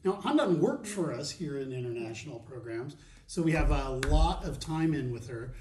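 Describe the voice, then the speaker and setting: A man hosting a casual interview podcast, chatty and upbeat, asking quick questions. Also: a little high, animated, echoey room, crowd noise. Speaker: podcast host.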